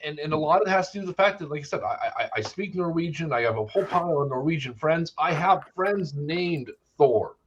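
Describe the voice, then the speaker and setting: A man with a slightly high voice argues his opinions in a casual group discussion. He is blunt and emphatic and swears freely, and the recording sounds a little muffled and lo-fi. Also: expressive, a little fast, few pauses, slight background noise. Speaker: discussion panelist. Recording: slightly dull lo-fi audio.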